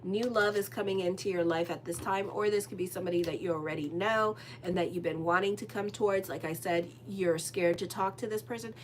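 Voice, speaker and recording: A woman's voice: fairly low pitch, fairly even intonation, a little fast, few pauses, slight background noise. A woman doing a casual tarot horoscope reading as an astrologer, rambling and chatty with lots of fillers and a direct manner. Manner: frank and direct with listeners.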